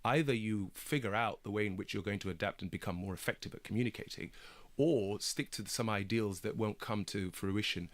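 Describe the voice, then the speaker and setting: A man's voice: on the low side, brisk. A man in his thirties, born in Kenya with Swahili as his first language, speaking earnestly and emphatically as a guest in a radio studio discussion. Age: 30s. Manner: earnest, emphatic, repeats words when stressing. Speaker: barrister and broadcaster guest.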